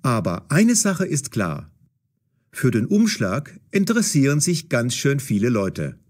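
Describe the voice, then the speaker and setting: A man narrates a scripted story. His voice is middling in pitch and husky. He tells it with animated, wide-ranging intonation. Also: unhurried.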